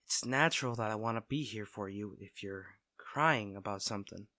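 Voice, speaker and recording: A man playing a caring, teasing, protective best friend in a soft-spoken, conversational roleplay audio drama. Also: lowish voice, moderate pace, no room sound, clean studio sound. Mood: gentle concern. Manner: fussing, tender, conversational.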